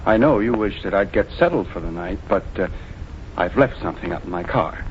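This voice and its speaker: An actor playing a young drifter and secret killer in 1940s radio drama style, soft-spoken and controlled, on an old radio recording. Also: male voice, on the low side, expressive, a little fast, highs cut off, a little hiss or hum, close to an everyday voice. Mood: polite, hesitant. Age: young adult.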